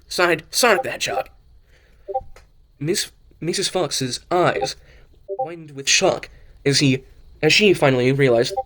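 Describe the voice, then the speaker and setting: A man's voice: a little high, fairly bright tone. A man narrating a fan audio drama as an amateur, often stumbling over and repeating words as he reads. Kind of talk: amateur story reading.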